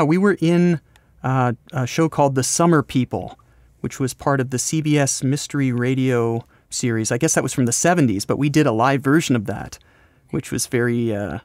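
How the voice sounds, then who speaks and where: An American man being interviewed, explaining his creative work in a casual, rambling, halting conversational way. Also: medium pitch, expressive, no room sound. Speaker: podcast host, voice actor and scriptwriter being interviewed.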